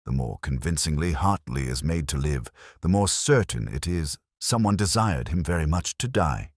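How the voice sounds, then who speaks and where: A man voicing a mild, shrewd police inspector in a detective story, speaking gently, deliberately and formally. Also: a bass voice, expressive, no echo, clean studio sound, heavily acted. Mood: cryptic, composed.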